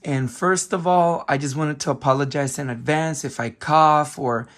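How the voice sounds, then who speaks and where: A man hosts a casual video game review, his voice worn and hoarse after a cold. He rambles in a friendly way, restarting sentences and leaning on ums, and his pitch sits a little above the usual for a man. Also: steady tone, normal speed, fairly bright tone. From San Francisco Bay Area. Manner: chatty, many ums, restarts himself. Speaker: retro game collector channel host.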